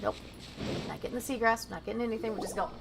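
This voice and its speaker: High-voiced woman commenting on a game. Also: steady tone, very fast, vocal fry, background noise. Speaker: game streamer. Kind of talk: casual gameplay commentary. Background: game music.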